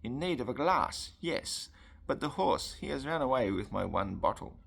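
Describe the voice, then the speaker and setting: A man voicing a courteous middle-aged French gentleman in a storybook, with a French accent and polite, halting, elegant phrasing. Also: mid-range voice, very animated, vocal fry, slightly rough, voice pushed up, heavily acted. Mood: shaken, courteous.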